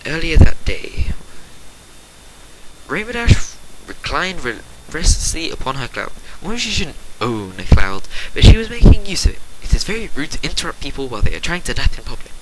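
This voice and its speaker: A man's voice: a little high, sing-song, fairly quick, hardly any pauses. A man giving an unrehearsed dramatic story reading, amused, often stumbling and correcting himself, in a noisy recording. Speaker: amateur story reader. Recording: loud background noise with clicks.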